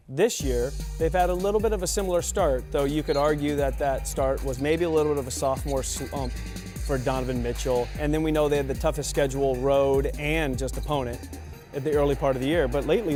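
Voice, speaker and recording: A man with a medium-pitched voice hosts a casual sports talk interview. He feels his way toward each question in a loose, roundabout manner. Faint music plays behind him. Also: a little mellow. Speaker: sports radio host asking questions.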